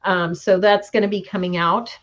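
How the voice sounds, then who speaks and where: A woman giving a transit funding update at a board meeting, losing her voice, halting with frequent ums. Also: mid-range voice, fairly even intonation, muffled recording.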